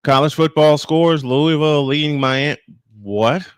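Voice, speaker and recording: Man for live baseball call with loose banter; voice a touch high, very dry acoustic. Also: steady tone, measured pace, clean tone, no echo. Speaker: play-by-play sportscaster.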